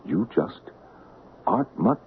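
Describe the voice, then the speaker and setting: An actor playing a soothing, patronizing doctor who talks gently and coaxingly, in an old radio drama. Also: male voice, slow, slight background noise, natural voice. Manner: gentle, coaxing, lilting storyteller delivery. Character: soothing, patronizing middle-aged male doctor. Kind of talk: 1970s radio drama acting. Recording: tinny old radio broadcast.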